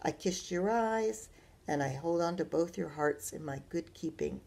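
Play as a woman reading aloud, a tender old grandmother in a storybook: soft, slow and protective, with a low voice that has a gravelly edge. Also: lively intonation, heavily acted.

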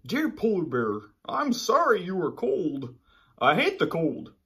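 A man's voice: high voice, huge pitch swings, fairly bright tone, pitched above the performer's own voice, heavily acted. A man reading a children's storybook aloud and voicing a sleepy, kind male panda who yawns and drawls. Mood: sympathetic. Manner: yawning, drawn-out and slow.

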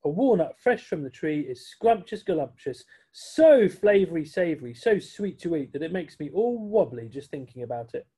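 A man voicing a giddy, excitable male monkey in a storybook reading, squealing and bouncy. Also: a little high, normal speed, breathy edge, pitched above the performer's own voice. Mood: enthusing.

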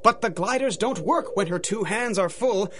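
A man's voice: sits high, moderate pace, a bit bright, a little hiss or hum. A man giving theatrical, dramatic narration in rhyming storybook verse for a radio drama.

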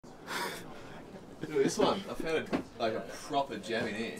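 A man with a mid-pitched voice chats casually. He sounds some distance from the microphone, with clear workshop noise around him. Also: expressive, measured pace. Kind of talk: casual chat. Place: indoor factory loft. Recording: off-mic, noisy workshop.

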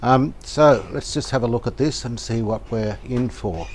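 An older man calmly talking through a restoration job outdoors, thinking aloud as he works. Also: mid-range voice, a little hiss or hum. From Australia. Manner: thinking aloud, calm and unhurried, plain-spoken with fillers. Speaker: radio restorer, channel host. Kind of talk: casual hands-on workshop narration. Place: outdoor verandah in the garden.

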